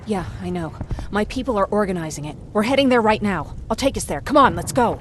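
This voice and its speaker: An actress playing a worried, determined young survivor and protective big sister in a video game cutscene. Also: female voice, mid-range voice, fast, slight background noise, close to an everyday voice. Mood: relieved, resolute.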